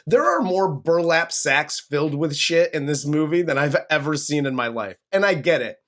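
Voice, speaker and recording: A man's voice: a little high, animated, moderate pace, fairly bright tone. A man co-hosting a casual movie review podcast, recapping the plot with jokes. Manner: joking, recaps plot with mock voices. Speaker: podcast co-host.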